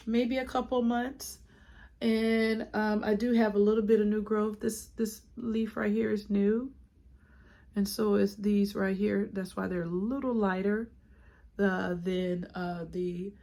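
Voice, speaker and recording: A woman's voice: on the high side, a little mellow. A woman giving a casual plant collection tour, congested from a cold, chatty with frequent ums and restarts. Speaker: plant vlogger.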